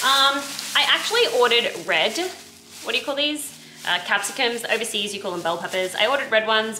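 A young Australian woman chatting casually and rambling a little, filmed in her home kitchen by a camera on the counter. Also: medium pitch. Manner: chatty, rambling with self-corrections. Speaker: vlogger. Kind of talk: casual grocery haul chat. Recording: echoey kitchen with background noise.

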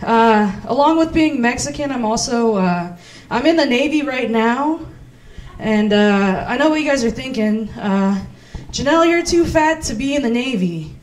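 A woman's voice: on the high side, lively intonation, moderate pace. A woman in her thirties doing stand-up as host in a small comedy club, playful and self-mocking on a handheld mic.

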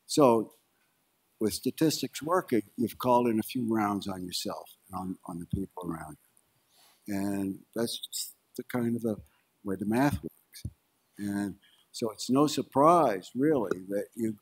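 An older man, a veteran, explaining in a halting way with many fillers and restarts on a handheld mic in a large lecture hall. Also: on the low side, a little mellow, breathy edge. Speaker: veteran panelist. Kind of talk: explaining from the floor at a panel.

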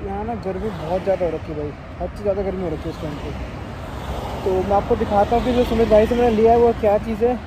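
High-voiced young Indian man, casual vlogging. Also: steady tone, fast talker. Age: 20s. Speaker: motovlogger. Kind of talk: casual chat to camera. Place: on a motorbike in city streets, later inside a small helmet shop. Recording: noisy street and traffic sound. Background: traffic and vehicle noise.

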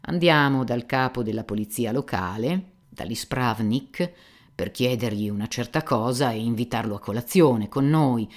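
A garrulous aging buffoon, as an audiobook reader puts him on, declaiming loudly with self-mocking pathos. He rambles from one anecdote to the next, his voice pushed a little higher than the narration and full of showy bluster. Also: moderate pace, natural voice. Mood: theatrical. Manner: loud, theatrical, rambling storytelling. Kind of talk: audiobook character reading.

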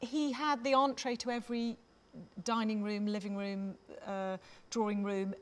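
A woman's voice: on the high side, little pitch movement, a little slow. Hesitant middle-aged woman chairing a panel. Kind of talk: conversational panel moderation. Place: theatre stage, seated panel before an audience.

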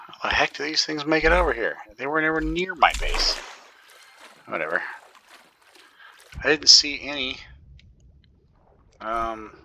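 A man's voice: mid-range voice, lively intonation, unhurried, pauses often, pushed and bright, a little hiss or hum, low-quality recording. A man giving casual commentary while he plays a video game, thinking aloud and often dropping to a whisper. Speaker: gaming YouTuber.

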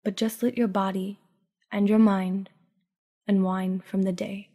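A woman leads a guided meditation for sleep with a slightly low, mellow voice. Her intonation stays flat and even, and she speaks in a hushed, soothing way. She takes her time, leaving long pauses between scripted phrases.

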